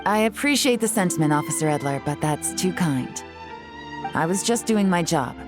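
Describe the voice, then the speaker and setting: An actress playing a cool, sardonic young female detective in a video game, composed, measured and wry. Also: fairly low pitch, lively intonation, music in the background, natural voice. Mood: composed, gracious. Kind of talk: dramatic game voice acting.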